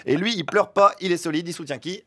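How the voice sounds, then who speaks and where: A man's voice: high-pitched, bright, forceful tone. A man delivering a satirical radio chronicle in an ironic, mocking tone from a studio. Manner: ironic, mocking, quick asides. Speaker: radio comedy columnist.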